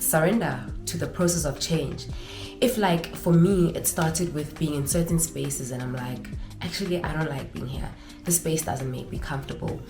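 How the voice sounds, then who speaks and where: Vlogger, woman, young adult, low voice, nasal and stuffed up, echoey room with music underneath. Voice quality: nasal from congestion. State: congested. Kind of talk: casual advice vlog.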